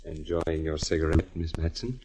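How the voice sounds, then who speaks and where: An actor playing a menacing, theatrical killer and embittered artist in an old radio drama, with slow, sinisterly polite delivery. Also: male voice, rumbling low, flat delivery, mellow, low-quality recording. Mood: sinister politeness. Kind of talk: 1940s radio acting. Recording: vintage 1940s radio recording.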